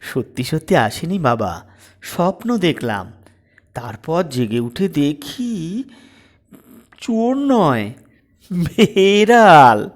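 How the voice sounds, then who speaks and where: Man voicing a pert young wife, slow-paced. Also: a little high, sing-song, exaggerated voice. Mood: composed, placating. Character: young daughter-in-law, composed yet pert.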